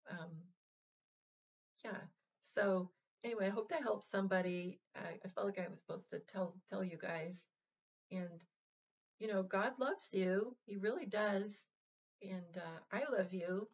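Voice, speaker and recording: A woman of middle pitch talks straight to her viewers, gentle and reassuring. She pauses often and restarts her phrases. The recording sounds dull and lo-fi. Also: breathy edge. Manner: halting, full of fillers and restarts, gentle and reassuring. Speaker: vlog host. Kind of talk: casual heartfelt address to viewers.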